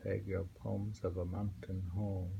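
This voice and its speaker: A middle-aged man reading poetry and commenting on it casually, musing in an unhurried way and repeating phrases. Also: deep voice, flat delivery, creaky, lo-fi sound. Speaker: host reading and discussing poetry. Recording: home recording with faint background noise.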